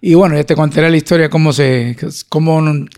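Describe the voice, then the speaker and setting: A Peruvian man speaking as a guest musician in an interview, halting and reflective, correcting himself as he goes. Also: a little high, steady tone, darkish tone. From Lima, Peru. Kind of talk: conversational interview.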